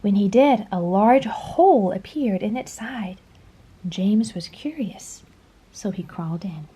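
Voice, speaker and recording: A woman reading a children's story aloud in a dramatic storytelling style, dropping to a hush at phrase endings. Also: medium pitch, lively intonation, takes it slowly, husky. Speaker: storybook reader. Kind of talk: expressive children's read-aloud narration.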